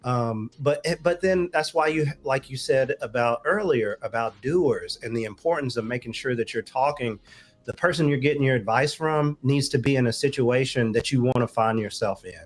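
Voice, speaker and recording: A man's voice: mid-range voice, moderate pace. A man speaking as a talk show guest on relationships, explaining his views in an informal, conversational way with asides.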